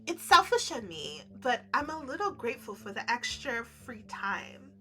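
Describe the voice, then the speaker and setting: A woman voicing a reserved, serene male winter spirit in a romance game, speaking in a measured, earnest, formal way. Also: on the high side, sing-song, pushed and bright, soft background music, voice pushed down, exaggerated voice. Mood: shy, hesitant.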